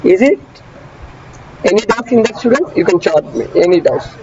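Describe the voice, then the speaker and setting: A man giving an online engineering lecture, repeating key phrases slowly and often asking students if they follow. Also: high-pitched, lively intonation, darkish tone. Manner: asks students frequent check questions. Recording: dull muffled audio with traffic noise behind.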